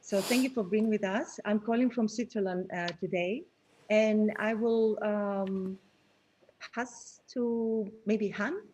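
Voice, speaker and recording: A woman who is a professor opens a seminar on a video call, speaking hesitantly with many pauses and fillers. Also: mid-range voice, fairly even intonation, a little mellow, breathy edge, low-quality recording. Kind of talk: casual video call introduction.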